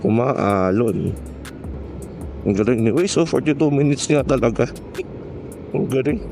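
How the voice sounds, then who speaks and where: A man's voice: medium pitch, lively intonation, a little slow. Filipino man chatting casually over music. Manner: casual, joking, slangy, code-switching. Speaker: motovlogger. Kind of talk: casual chat while riding a motorcycle. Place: outdoors on a motorcycle in city traffic.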